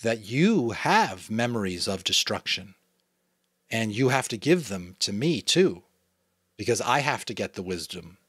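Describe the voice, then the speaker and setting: A man's voice: on the low side, very animated, a little slow, a bit bright, breathy edge, no room sound, full character voice. A man voicing an earnest young boy who speaks eagerly and turns indignant. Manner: eager, pleading, rising to anger.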